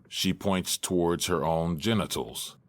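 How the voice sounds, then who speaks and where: A man acting as the narrator of an audio drama, reading scripted stage directions deliberately. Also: deep voice. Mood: neutral.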